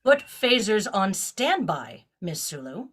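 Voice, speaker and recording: An actress playing an authoritative, guarded female starship captain in an audio drama, giving crisp, imperious orders. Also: mid-range voice, very animated, slow, exaggerated voice. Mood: stern, defiant.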